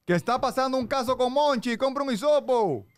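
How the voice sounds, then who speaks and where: A man's voice: very high-pitched, animated, brisk, clear, resonant tone, no room sound. A young Dominican man hosting a radio show, teasing and insistent in comic phone-prank banter, on a close studio microphone. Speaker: radio show host making a prank call. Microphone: close broadcast microphone with headset.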